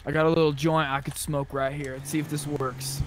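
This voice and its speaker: A man's voice: on the high side, level tone, fairly quick. A man chatting casually and informally, with some swearing, as he plays an online roleplay game. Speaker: video game player. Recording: noisy game voice chat.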